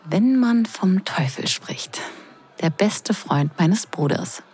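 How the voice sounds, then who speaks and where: A woman's voice: mid-range voice, lively intonation, takes it slowly, a little mellow, slightly rough. A woman acting a sarcastic, flustered young sister of the groom in an intimate, confiding audio drama. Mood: teasing, annoyed. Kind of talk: intimate first-person audio drama narration and dialogue.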